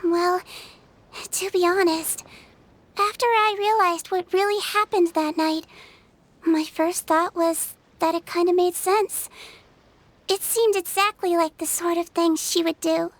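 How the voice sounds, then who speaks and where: A gentle, high-pitched nonhuman doctor. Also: female voice, steady tone, unhurried. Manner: soft, kindly storytelling. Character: gentle young female nonhuman doctor, calm and kind. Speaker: narrator of her own story. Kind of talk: video game voice acting. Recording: game soundtrack music behind.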